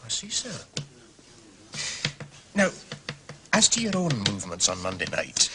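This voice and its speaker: An actor playing a formal, literal-minded police sergeant in a radio drama, stiffly polite and official. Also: male voice, on the low side, wildly swooping pitch, a little slow, music in the background, heavily acted. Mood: dogged.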